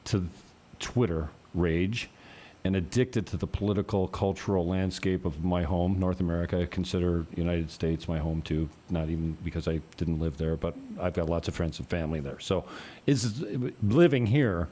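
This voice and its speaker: A man's voice: sits low, moderate pace, dark tone. A man from Canada hosting a casual interview, asking long, rambling, chatty questions. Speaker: podcast host.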